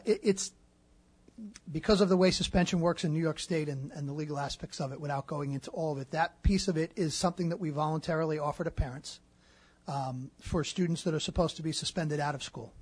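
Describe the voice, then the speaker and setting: A man speaking as a school district administrator, answering questions at a public meeting in a measured way with frequent fillers. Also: fairly high pitch, breathy edge, no echo.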